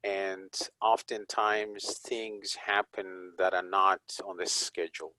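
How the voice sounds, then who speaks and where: A man from Zimbabwe, a police chaplain and guest, talking in an unhurried, reflective way with frequent chuckles. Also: low voice, flat delivery, sharp tone, dry recording, poor audio. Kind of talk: conversational interview.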